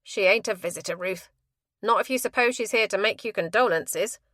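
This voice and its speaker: A woman voicing a blunt, scornful older mother who talks loudly in coarse, ungrammatical speech. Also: medium pitch, a little fast, no echo, clean studio sound, lightly acted.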